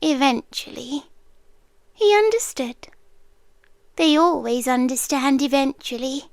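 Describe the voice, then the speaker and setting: A British woman acts out an ancient, possessive monster girl in an intimate ASMR roleplay. Her voice is high, soft and breathy, slipping often into whispers. She speaks very slowly with dramatic pauses, coaxing and eerily reassuring, close on the mic in a dry space. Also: animated, no echo, heavily acted. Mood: fondly sinister. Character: possessive centuries-old monster girl, sweetly sinister doting collector of people. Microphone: close ASMR microphone, dry sound.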